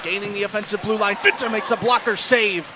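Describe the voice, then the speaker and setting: A man giving live ice hockey radio commentary as play-by-play announcer, excitable and ready to raise his voice on chances. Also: high voice, a little fast, a crowd in the background, noisy background.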